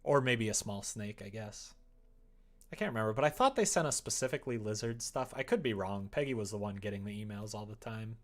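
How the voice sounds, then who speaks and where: A man's voice: on the low side, lively intonation, fairly quick. A man live-streaming, chatting casually and easily with viewers in a rambling way, reading out their comments as he goes.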